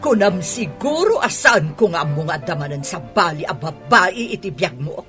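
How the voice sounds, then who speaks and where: A woman's voice: fairly low pitch, very animated, moderate pace, music in the background. A woman playing a proud, bitter, commanding wife in an Ilocano radio drama. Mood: accusing.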